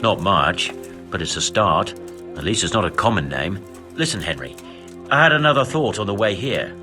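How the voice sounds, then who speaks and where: Low-voiced, shrewd medieval master over music. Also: male voice, big pitch swings, normal speed, pushed and bright, full character voice. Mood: shrewd, pondering. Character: brisk, shrewd medieval master directing a manhunt. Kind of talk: video game voice acting. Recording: music behind the voice.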